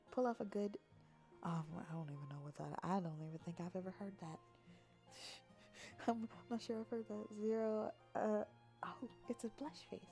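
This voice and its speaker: A young adult woman chatting casually on a livestream in a playful, silly, expressive manner, reacting to her viewers. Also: mid-range voice, vocal fry. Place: apartment.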